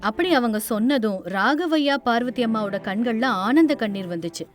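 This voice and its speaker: A woman's voice: on the high side, expressive, moderate pace. A woman narrator reading a scripted moral story. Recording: music under the voice.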